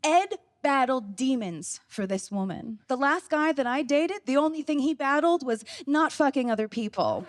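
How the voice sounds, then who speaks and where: A woman's voice: sits high, expressive, moderate pace, few pauses. A woman performing a scripted comedy monologue in a tongue-in-cheek manner.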